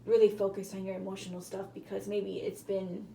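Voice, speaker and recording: A woman with a hoarse, cracking voice, giving a casual tarot reading to viewers and often apologizing. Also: mid-range voice, level tone, fairly quick, mellow, roomy sound, a little hiss or hum, rough recording. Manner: chatty, apologetic, hedging with fillers. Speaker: tarot reader.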